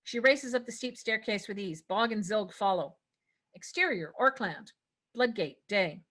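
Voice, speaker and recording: Mid-pitched woman narrating a script. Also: unhurried, fairly bright tone. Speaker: narrator reading stage directions. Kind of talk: scripted narration at a table read.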